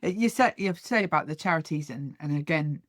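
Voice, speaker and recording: A woman's voice: low voice, lively intonation, fairly quick, no echo. A woman hosting a podcast, asking questions in a chatty, hesitant way. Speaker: podcast host. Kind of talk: interview questions.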